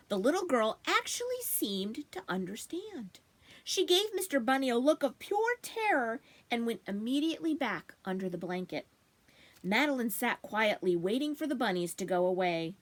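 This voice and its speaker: A woman reading a children's storybook aloud as expressive narration, in the manner of a library storytime. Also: a little high.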